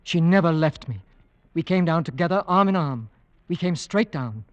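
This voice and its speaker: An actor playing an earnest, driven and protective husband on a hunt, in intense theatrical 1940s radio drama style. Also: male voice, on the high side, expressive, moderate pace, lightly acted. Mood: anxious pleading. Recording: dull old radio broadcast recording.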